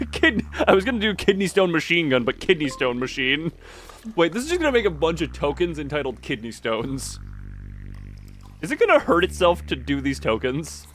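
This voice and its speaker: A man giving casual live commentary on a game as he streams, thinking out loud and often breaking off mid-sentence. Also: a little high, big pitch swings, moderate pace, slight background noise.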